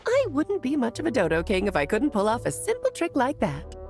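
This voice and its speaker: Mischievous game sorceress: airy, affectionate tease, high voice with animated swoops. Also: female voice, fairly quick, vocal fry, faint music behind, full character voice. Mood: playfully smug. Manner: airy, theatrical, affectionate teasing. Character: playful mischievous mage mother, theatrical and smug. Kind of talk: video game voice acting.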